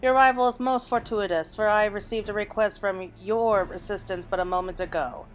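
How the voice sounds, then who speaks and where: A woman voicing a brisk, courteous quest giver from a fantasy game, formal and wordy with a teasing touch. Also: mid-range voice, steady tone, close to an everyday voice. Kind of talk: acted reading of fantasy game dialogue. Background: game music.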